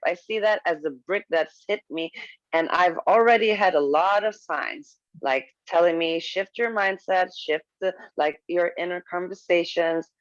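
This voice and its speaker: A woman's voice: on the low side, dry recording. Candid woman, dull dry audio. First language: Swedish. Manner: candid, halting with frequent restarts and laughs. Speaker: guest. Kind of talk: casual video-call conversation.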